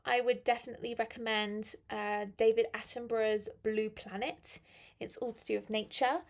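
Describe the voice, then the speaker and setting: A young woman teaching on a live stream, her voice a little croaky, chatty and friendly, slowing down to stress key phrases. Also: fairly high pitch, little pitch movement. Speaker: English teacher. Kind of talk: live-stream English lesson.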